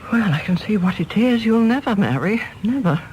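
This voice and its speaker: A woman's voice: mid-range voice, lively intonation, moderate pace, a little mellow, slight background noise, close to an everyday voice, low-quality recording. An actress playing an anxious, domineering older English mother in a radio drama, querulous and imperious. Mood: indignant. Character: anxious, domineering, self-pitying mother of a grown son. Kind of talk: British radio drama acting.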